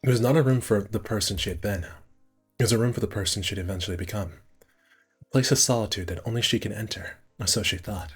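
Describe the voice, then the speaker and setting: A man's voice: on the low side, fast talker, husky, faint music behind. A man casually streaming a visual novel, reading the narration aloud and correcting himself with muttered asides.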